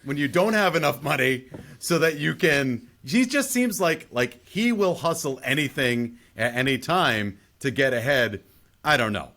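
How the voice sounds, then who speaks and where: A man with a mid-pitched voice hosts a casual film discussion. He speaks in a conversational, unscripted podcast style. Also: animated, measured pace, bright, forceful tone.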